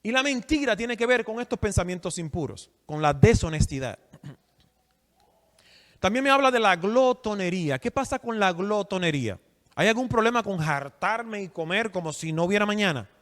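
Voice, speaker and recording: High-voiced preacher in a hall. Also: male voice, lively intonation, moderate pace, a bit bright. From Dominican Republic. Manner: asks the audience questions, emphatic. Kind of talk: sermon teaching. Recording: long hall reverb.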